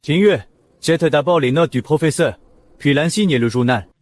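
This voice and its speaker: An actor playing a calm, methodical teammate giving orders in a dubbed animated series. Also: male voice, on the high side, moderate pace, no room sound, lightly acted. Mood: calm, directive.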